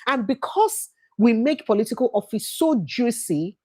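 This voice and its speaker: A Nigerian woman leads a casual livestream discussion in a slightly husky voice. Her pitch moves a lot as she makes her points, and she mixes pidgin phrases into her English. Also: expressive, normal speed, warm tone. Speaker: livestream host. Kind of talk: casual live panel chat.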